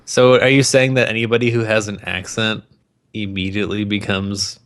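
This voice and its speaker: A man co-hosting a podcast, chatting casually and profanely about movies in an opinionated, mocking, animated way. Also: fairly low pitch, moderate pace. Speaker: podcast co-host.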